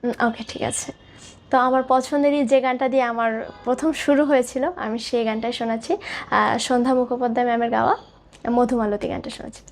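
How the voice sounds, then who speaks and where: A woman's voice: fairly high pitch, little pitch movement, a little fast, slight background noise. A woman, a singer giving thoughtful, somewhat hesitant answers as an interview guest.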